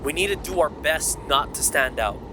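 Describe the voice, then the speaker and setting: Man acting a high-pitched, earnest young hero. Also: animated, normal speed, background noise, close to an everyday voice. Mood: earnest, focused. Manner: careful, instructive. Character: earnest young male dragon mage hero. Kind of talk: anime game fandub acting.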